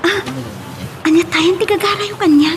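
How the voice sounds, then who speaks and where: A woman's voice: extremely high pitch, steady tone, moderate pace, background noise. A woman with an Ilocano Filipino accent playing a friendly, coy young office worker in a radio love drama. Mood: friendly, bashful.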